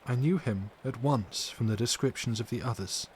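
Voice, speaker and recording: A man voicing a distressed but tender and brave young wife, recounting in an earnest, measured, formal way for an audiobook. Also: medium pitch, close to an everyday voice. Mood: troubled recounting. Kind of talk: dramatic audiobook character reading. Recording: steady background noise.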